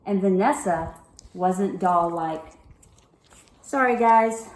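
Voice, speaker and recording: A woman reading a children's book chapter aloud, with expressive, deliberate and dramatic storybook phrasing. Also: fairly low pitch, roomy sound.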